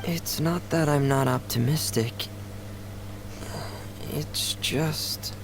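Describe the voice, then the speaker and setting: A man acting a video game role as a shy, melancholy young man, soft-spoken, hesitant and earnest. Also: fairly high pitch, slow, noisy background. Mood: cautious, gentle. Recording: music under the voice.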